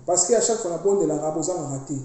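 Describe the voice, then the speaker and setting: Dark-voiced woman preaching in an echoey room. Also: lowish voice, very fast. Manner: emphatic, preachy, mixes languages freely. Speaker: priestess preaching online. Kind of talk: spiritual exhortation to followers.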